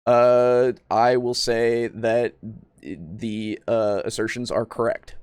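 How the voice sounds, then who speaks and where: A man's voice: medium pitch, steady tone, takes it slowly, a little mellow, smooth, no room sound, clean studio sound. A man hosting a podcast in casual chat, with a playful, jokey manner. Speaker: podcast host. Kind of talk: casual chat, reading out comments.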